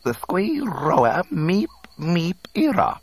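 A droid talking squeaky critter gibberish, super high, drawn out, for a sci-fi storybook. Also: male voice, huge pitch swings, takes it slowly, husky, full character voice. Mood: courteous. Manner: squealing nonsense syllables. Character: droid chattering in a squeaky alien creature tongue.

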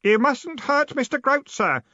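Man acting boy apprentice, earnest, obsessive, polite, thoughtful, raised pitch, audiobook character. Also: animated, unhurried, bright, forceful tone, no echo, lo-fi sound, exaggerated voice. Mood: menacing.

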